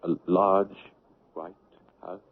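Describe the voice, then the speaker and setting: An actor in a 1970s radio drama playing a bewildered, anguished man, halting and stammering as he speaks. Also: low voice, level tone, full character voice. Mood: bewildered, fearful. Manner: halting, stammering, repeating words. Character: anguished, haunted man newly dead, bewildered and lonely. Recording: noisy, narrow-band 1970s radio recording.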